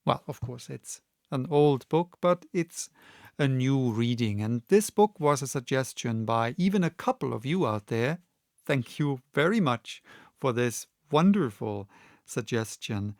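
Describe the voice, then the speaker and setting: A man hosting and reading audiobooks, speaking deliberately in short, separated phrases. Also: medium pitch, expressive, a little mellow, dry recording, clean studio sound. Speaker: audiobook reader and channel host. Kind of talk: casual introduction and expressive audiobook narration.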